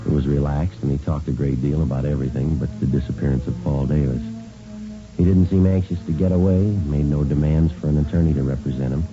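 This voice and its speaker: An actor playing a terse, unflappable police sergeant in a vintage radio drama, speaking and narrating in a clipped, matter-of-fact deadpan. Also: male voice, very deep voice, brisk. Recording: muffled vintage radio recording, music behind.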